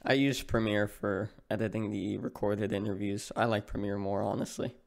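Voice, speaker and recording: Male stream host, gravelly fry in the voice, relaxed, reads chat questions, desktop mic on a call. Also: on the low side, normal speed. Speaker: stream interviewer. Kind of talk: casual livestream interview.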